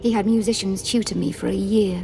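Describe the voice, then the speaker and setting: An actress voicing an unstable young female Sith lord, wounded and bitter, with a gravelly voice and a manner that swings from imperious rage to self-pity, in a video game cutscene. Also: mid-range voice, steady tone, fairly quick, warm tone, soft background music, natural voice, low-quality recording. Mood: haunted recollection.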